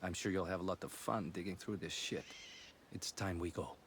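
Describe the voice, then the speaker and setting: An actor playing a calm, reassuring resistance contact in a video game, speaking without hurry. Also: male voice, deep voice, moderate pace, a little hiss or hum. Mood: steady, wry. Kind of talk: cinematic game acting.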